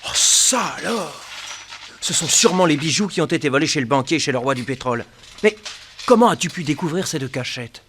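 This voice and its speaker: High-voiced, wide-eyed sidekick. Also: male voice, animated, fairly quick, fairly bright tone, slight background noise. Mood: amazed, admiring. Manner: wide-eyed, easily astonished. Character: naive, admiring male detective's sidekick. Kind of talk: French radio play acting.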